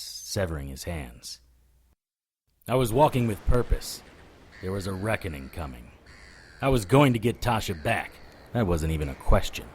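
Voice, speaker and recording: A man playing a hard-boiled occult detective in an audio drama, narrating and talking in a dry, sardonic way with a husky voice. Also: low voice, darkish tone. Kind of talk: first-person noir narration and dialogue in audio drama.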